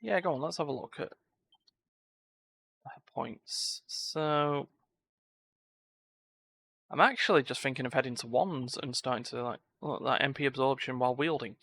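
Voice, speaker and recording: A man's voice: mid-range voice, measured pace, gravelly, pauses often, a bit bright, no room sound. A man giving live video game commentary, full of playful exclamations and muttered asides. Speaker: game streamer. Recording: game music behind the voice. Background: video game music.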